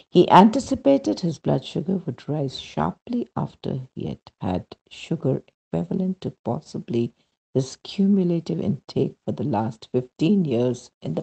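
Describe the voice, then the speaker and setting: A man reading a scripted devotional testimony aloud in a measured storytelling manner, pausing between phrases. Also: fairly high pitch, vocal fry, mellow, breathy edge, low-quality recording. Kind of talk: scripted narration, read aloud.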